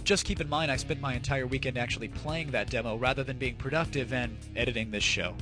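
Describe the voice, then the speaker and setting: A man's voice: mid-range voice, fast. A man hosting a comedy audio show, talking casually to listeners in a teasing, mock-formal way. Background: background music.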